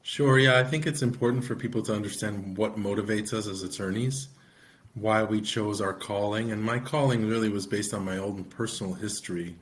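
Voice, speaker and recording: Male co-host, middle-aged lawyer, steady and explanatory, flat low delivery. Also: fairly quick. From United States. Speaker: immigration attorney and podcast co-host. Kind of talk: podcast conversation.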